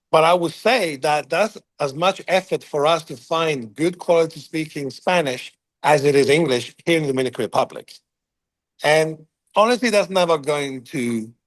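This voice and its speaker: A man being interviewed as a company chief, talking in quick bursts full of fillers and restarts. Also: mid-range voice, no room sound. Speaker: CEO of a contact center firm, guest. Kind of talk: business interview, unscripted.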